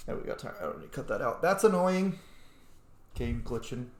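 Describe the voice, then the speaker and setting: A man with an average-pitched voice talks casually through a video game. He often mutters to himself as he plays, and the room around him adds a clear echo. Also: sing-song, brisk. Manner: mutters to himself while playing. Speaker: game streamer, let's player. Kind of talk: casual gameplay commentary.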